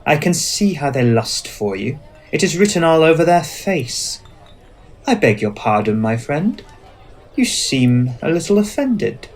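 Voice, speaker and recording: A man playing an ancient, suspicious male vampire in an ASMR roleplay, speaking in formal, measured, commanding phrases. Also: fairly high pitch, sing-song, exaggerated voice. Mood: probing, suspicious. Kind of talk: scripted ASMR roleplay acting.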